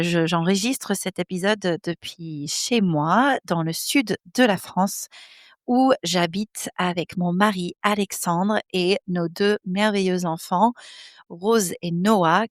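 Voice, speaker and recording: A woman's voice: medium pitch, dry recording, studio quality. A woman in her thirties from the American Midwest, speaking French with an American accent. She hosts her podcast from her home office in a personal, hesitant monologue, with many fillers as she searches for words. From United States, Midwest. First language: English. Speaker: podcast host.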